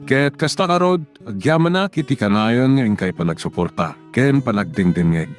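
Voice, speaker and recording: A man's voice: medium pitch, lively intonation, moderate pace. A man with an Ilocano accent reading a scripted introduction as the announcer for a radio drama. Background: soft plucked guitar music.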